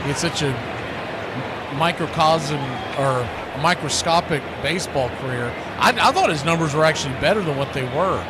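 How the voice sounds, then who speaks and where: A man with a high voice works as a baseball radio host over clear background noise. He gives short, punchy play-by-play calls and slips into casual chat between them. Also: lively intonation, moderate pace.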